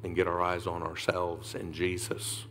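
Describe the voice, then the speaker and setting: A man in his thirties preaches from a large church stage in a very deep voice. He swings from hushed, whispered lines to loud calls for the congregation to answer back. A faint hum sits under the sermon. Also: monotone, measured pace. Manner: preaching with sudden whispered drops and loud rises. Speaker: pastor.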